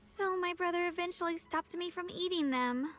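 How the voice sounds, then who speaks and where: An actress playing a gentle, polite young swordswoman in an anime-style game, earnest and soft-mannered. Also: very high voice, normal speed. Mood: sweet, nostalgic.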